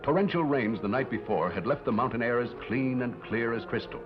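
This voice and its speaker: A man's voice: medium pitch, a little fast, natural voice. Man as a declamatory western announcer over music. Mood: stately. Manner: declamatory, formal. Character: dramatic, stirring storyteller of western adventures, adult man. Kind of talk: scripted 1950s TV western narration. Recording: muffled old TV soundtrack under music.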